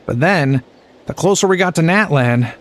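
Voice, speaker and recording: A man's voice: a little high, animated, moderate pace, natural voice. A man voicing a weary traveling merchant.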